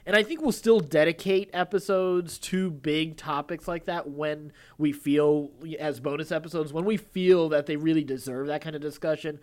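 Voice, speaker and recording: A man's voice: fairly high pitch, steady tone, moderate pace, few pauses. A man co-hosting a podcast in casual conversation, talking in a wordy, rambling way as he thinks aloud. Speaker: podcast co-host.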